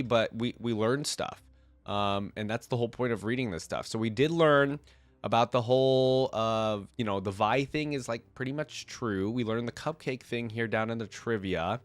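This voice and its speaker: A man's voice: medium pitch, dry recording. A man with a congested, nasal voice from a cold, reading aloud and adding casual asides as a reaction video host. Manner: informal, thinking aloud with asides. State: has a cold.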